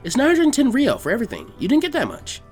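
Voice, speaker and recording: A man's voice: extremely high pitch, wildly swooping pitch, fast, darkish tone, faint music behind, pitched above the performer's own voice, heavily acted. A man voicing a teasing, playful teenage ninja girl in a story reading. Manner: playful, pert, indignant when outdone. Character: teasing teenage kunoichi girl working in weapons shop. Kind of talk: fan fiction character reading.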